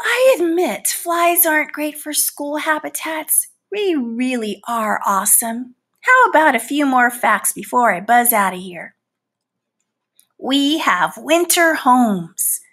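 Storybook housefly, acted by a woman: indignant, easily hurt, boastful, theatrical; slow, forceful bright delivery. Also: sits high, heavily acted. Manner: theatrical, pleading, mock-wounded and boastful. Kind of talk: storybook read-aloud in character.

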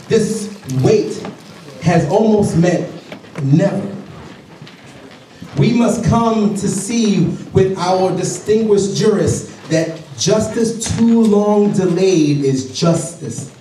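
Heard from far back in a very echoey hall, a man reads aloud to a seated audience. His voice is high and mellow, and he delivers the text like an orator, with deliberate phrasing and pauses. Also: a little hiss or hum. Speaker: public reader at a community program. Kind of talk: dramatic public reading of a famous letter aloud. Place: large indoor hall, on stage before a seated audience. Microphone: stage microphone through speakers, recorded by far camera in audience.

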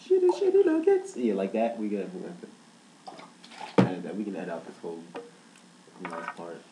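A man's voice: sits low, huge pitch swings, fast talker. A young man in casual joking banter, goofy and playful, filmed on a webcam in a small bare room. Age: young adult. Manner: goofy, playful, breaks into laughs and yelps. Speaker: co-host. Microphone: laptop webcam across the table. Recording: echoey room with background hiss.

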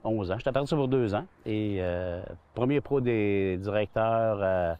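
A Québécois man in his sixties tells stories in a rambling way, full of restarts and fillers. His voice sits a little low. He is recorded on a lapel mic outdoors on a golf course. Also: a little slow, clean tone, lo-fi sound. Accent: Québécois French. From Quebec. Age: 60s. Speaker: golf club general manager. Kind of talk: relaxed outdoor interview.

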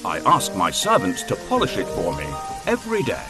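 A man's voice: lowish voice, wildly swooping pitch, moderate pace, over loud music. A man playing a kindly, proud old king in a children's storybook, with theatrical delivery. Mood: proud, advising. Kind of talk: children's storybook app character acting.

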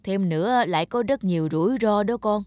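A man playing a gentle, cautious old village father in a cartoon, slow and measured as he advises. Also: high voice, dry recording, lightly acted. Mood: concerned. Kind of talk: cartoon dubbing.

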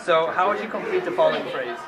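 A teenage boy with a fairly high voice runs quick hallway interviews for a school TV show. He holds a handheld microphone and repeats his question briskly. Loud crowd chatter from a busy school corridor fills the background. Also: animated, very noisy. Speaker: student interviewer. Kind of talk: casual street-style interviews.

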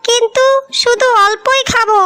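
A woman's voice: very high voice, fairly even intonation, moderate pace, pushed and bright. A woman voicing an affectionate, anxious younger sister in a cartoon fairy tale, earnest and pleading. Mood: reluctant. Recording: music bed behind the voice.

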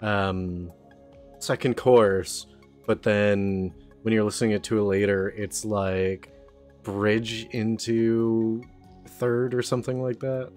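Lower-pitched man musing on a podcast. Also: flat delivery, slow, a little mellow, faint music behind. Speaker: podcast co-host. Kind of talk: casual film discussion.

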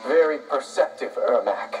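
Man playing lively, proud ninja warrior. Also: mid-range voice, normal speed, close to an everyday voice. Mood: confident. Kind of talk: video game fight intro dialogue. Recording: distant echoey game audio under loud music.

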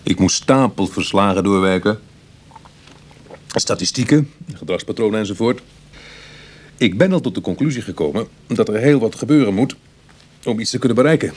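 A man playing a roguish, world-weary reformed burglar in a radio drama, speaking in a musing, colloquial way with a husky, gravelly voice. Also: on the low side, expressive, moderate pace, pauses often, darkish tone. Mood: musing, conversational. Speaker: actor in a radio play. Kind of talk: Dutch radio drama acting, dialogue and confessional narration.

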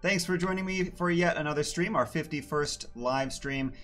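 A man in his thirties, slightly hoarse, hosting a casual science livestream with plenty of "uh" fillers. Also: a little high, moderate pace, fairly bright tone, faint music behind. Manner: friendly, filler-heavy with many "uh"s. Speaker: planetarium educator hosting a livestream. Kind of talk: casual live-stream talk.

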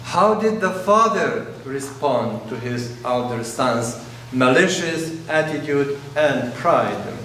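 Russian-speaking priest guy, 40s, slow careful sermon, mic far off, big church echo, faint room hum. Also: mid-range voice, expressive, a bit bright. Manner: slow, pausing delivery in short phrases. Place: large church, indoors. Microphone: camera at a distance. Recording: very echoey church, distant mic.

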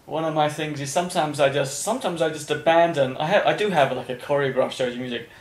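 A man's voice: on the high side, quick pace. A middle-aged man hosting a podcast, chatting casually about working as an entertainer. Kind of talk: casual interview chat. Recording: roomy, echoing room.

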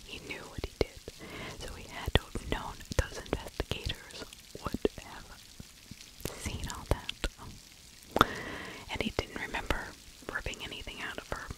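A woman's voice: normal speed, poor audio. Whispering middle-aged woman, true crime ASMR. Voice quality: breathy, unvoiced whisper. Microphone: close microphone with furry windscreen.

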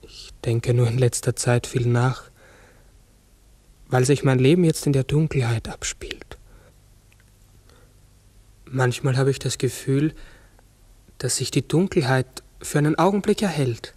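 Male actor as forgetful raven, pensive, halting, slow-paced, medium pitch, 1960s radio drama. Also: a little mellow, no room sound, close to an everyday voice. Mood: pensive, uncertain. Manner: halting, brooding, self-correcting. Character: human-sized talking raven, pensive, uncertain, forgetful.

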